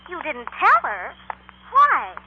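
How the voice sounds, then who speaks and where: A woman's voice: sits high, huge pitch swings, exaggerated voice. An actress playing a supportive, teasing teenage girl pal in a 1940s radio drama. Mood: curious. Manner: brisk, teasing, encouraging. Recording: muffled, humming, noisy 1940s radio recording.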